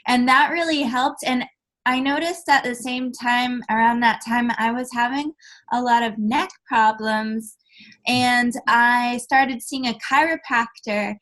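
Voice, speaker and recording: A woman speaking as a sound healing therapist in a podcast interview, soft-spoken, explaining things gently. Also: a little high, steady tone, a little slow. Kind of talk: conversational interview answers. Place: podcast recording.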